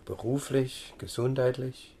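An actor playing a blunt, businesslike police investigator in a radio crime drama, curt and matter-of-fact. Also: male voice, medium pitch, fairly even intonation, unhurried, mellow, slightly rough.

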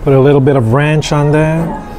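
A man in his thirties reviewing restaurant food in a casual, halting way, full of fillers, on an outdoor patio with a camera on the table. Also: a little high, over music. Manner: slow, relaxed, halting with many fillers. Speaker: food vlogger. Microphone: camera on table across from him.

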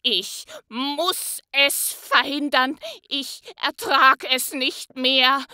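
Casting: woman playing hateful grumpy male monster for storybook, growling, forceful, slow delivery. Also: high voice, dry recording, clean studio sound, pitched above the performer's own voice, full character voice. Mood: exasperated, defiant. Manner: growling, grumbling. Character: grumpy, spiteful male Grinch creature, seething with hatred. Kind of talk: dramatic storybook reading.

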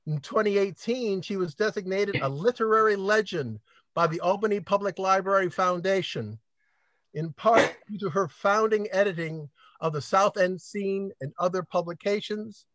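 An older man hosting a library talk over a video call from home, reading a guest introduction with brisk, dry humor. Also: sits high, a bit bright, dry recording. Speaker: event host introducing the speaker. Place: home room with bookshelves. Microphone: video call webcam.

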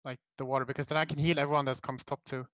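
A man's voice: mid-range voice, flat delivery, very fast, fairly bright tone, no room sound. A man on team voice chat explaining where he should stand. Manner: explains his positioning. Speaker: teammate. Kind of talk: team voice chat during a match.

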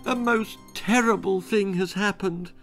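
A man's voice: sits high, a little slow, a bit bright, faint music behind, pitched above the performer's own voice. A man voicing a frail, emotional mother in a children's story, crying out plaintively. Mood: distressed.

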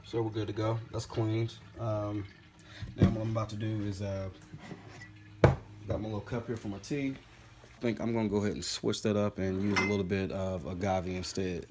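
A man in his forties with a fairly low voice chats loosely as he cooks in his home kitchen, sounding slightly congested. He is recorded on a phone camera, with clear background noise around him. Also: level tone, moderate pace. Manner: laid-back, rambling, says "man" and "bad boy" a lot. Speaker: home cook vlogger. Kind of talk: casual how-to vlog.

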